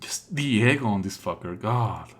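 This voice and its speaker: A man live-streaming casual commentary on a game playthrough, sardonic, exasperated and quick to swear. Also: lowish voice, lively intonation, a bit bright, a little hiss or hum.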